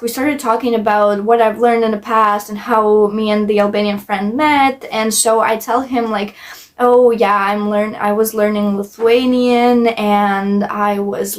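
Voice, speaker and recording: Higher-voiced young woman, chatty. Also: measured pace. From Latvia. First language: Latvian. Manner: emphatic, chatty, repeats intensifiers. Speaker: travel vlogger. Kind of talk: casual personal storytelling.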